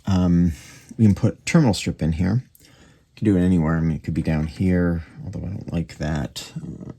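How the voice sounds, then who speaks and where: A man giving a casual hands-on repair demonstration, speaking haltingly as he thinks aloud while he works. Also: sits low, vocal fry. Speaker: radio repair hobbyist and presenter. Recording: steady background noise with clicks.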